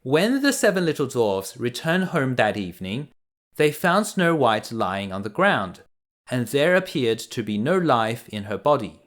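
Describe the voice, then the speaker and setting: Slow, expressive male bedtime storyteller. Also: mid-range voice. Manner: deliberate, expressive read-aloud. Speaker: storyteller reading to children. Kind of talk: bedtime fairy tale narration.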